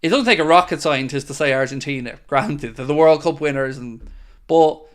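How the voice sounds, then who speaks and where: A young man hosts a live stream and answers viewers one by one. His talk is casual and upbeat, and his voice sits a little on the high side for a man. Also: moderate pace. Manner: chatty and upbeat, reads questions aloud then answers. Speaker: host of a football fan channel.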